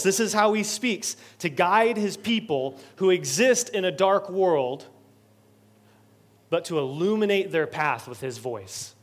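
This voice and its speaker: Male pastor, higher-pitched, preaching with earnest building repetition. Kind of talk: sermon. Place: church sanctuary.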